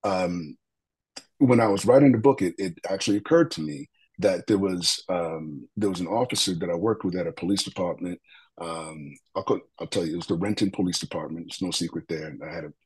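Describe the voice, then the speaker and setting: A man with a deep voice, a guest in an interview, telling an earnest, reflective story with frequent fillers and restarts. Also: darkish tone, low-quality recording. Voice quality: deep, husky voice.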